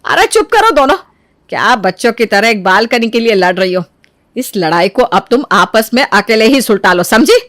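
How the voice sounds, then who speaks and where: A woman's voice: on the high side, very animated, normal speed, fairly bright tone, dry recording, studio quality. A woman voicing an exasperated older mother-in-law who scolds wearily and with authority in a cartoon family story. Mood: scolding. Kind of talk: animated family story dialogue.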